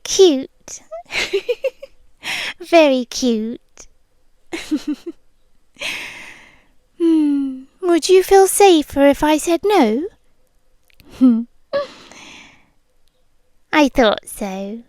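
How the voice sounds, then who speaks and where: A British woman playing a possessive, lovesick stalker girlfriend in an intimate ASMR roleplay, breathy and often whispering, with lingering pauses. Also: high voice, animated, darkish tone, no room sound, clean studio sound, heavily acted. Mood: teasing. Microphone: close microphone, dry.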